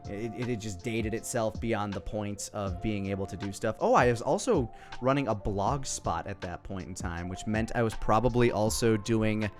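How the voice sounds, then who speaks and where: A man's voice: fairly low pitch, moderate pace, few pauses. Rambling American guy over background music. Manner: rambling, self-interrupting. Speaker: video creator. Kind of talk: casual off-the-cuff commentary.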